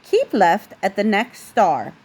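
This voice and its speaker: A woman's voice: mid-range voice, huge pitch swings, slow pace, a little mellow, breathy edge, heavily acted. A woman voicing a bossy female satnav giving directions in a children's storybook. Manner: bossy, unhelpful, instructing.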